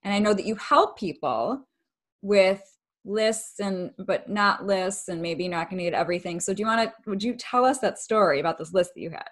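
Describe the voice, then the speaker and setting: A woman's voice: medium pitch, normal speed, fairly bright tone. A woman hosting a relationship talk show, asking rambling and enthusiastic questions. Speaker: host, relationship expert. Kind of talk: interview host conversation.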